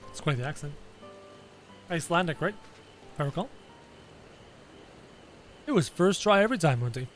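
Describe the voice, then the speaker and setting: A man's voice: fairly high pitch, animated, normal speed, soft background music. A man livestreaming a video game with casual, joking, exclamatory commentary, thinking aloud as he chats with viewers. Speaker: live game streamer.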